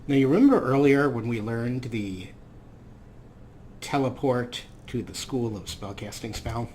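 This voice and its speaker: Man, game commentator, mid-pitched, highly animated, theatrical, wry, casual, clear background noise. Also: unhurried. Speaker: let's play host.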